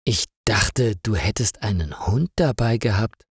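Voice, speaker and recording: A man's voice: fairly low pitch, animated, unhurried, breathy edge, no echo, studio quality, heavily acted. A man narrating a story, voicing a polite, plain-spoken male bakery worker. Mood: embarrassed.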